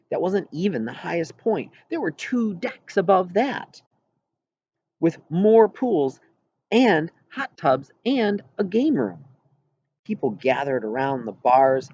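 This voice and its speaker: Male narrator for fiction read-aloud: breathy, grainy tone, expressive phrasing, mildly lo-fi sound. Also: medium pitch. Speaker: audiobook narrator. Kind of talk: scripted storybook narration.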